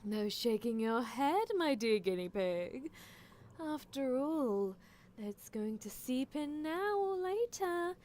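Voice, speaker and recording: A woman's voice: high-pitched, very animated, takes it slowly, background noise, voice pushed up, exaggerated voice. A woman voicing an obsessive mad scientist who coaxes and condescends to a captive love interest in an ASMR roleplay, with menacing affection. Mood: coaxing, menacing.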